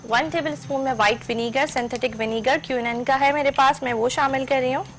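A middle-aged woman with a high voice walks viewers through a recipe on a studio kitchen set, speaking into a lapel mic. She explains each step in a chatty, instructive way, with faint noise behind her. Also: fairly even intonation, fairly quick. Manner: chatty, instructive, mixes in English terms. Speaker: cooking show host. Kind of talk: step-by-step cooking demonstration.

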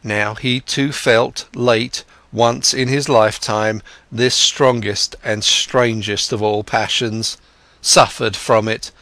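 A man narrating a literary audiobook in a measured, contemplative way. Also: mid-range voice, steady tone, sharp tone, dry recording.

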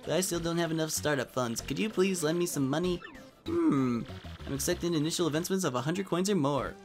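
A man's voice: fairly high pitch, a little fast, soft background music, natural voice. A man voicing an eager, friendly, hopeful little creature prospector from a cartoon game.

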